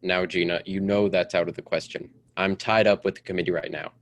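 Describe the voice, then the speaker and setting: A man playing a stuffy, domineering politician husband in a radio melodrama, with a brisk, formal, patronizing manner. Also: sits low, little pitch movement. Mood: brisk, self-important. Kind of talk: old-time radio drama acting.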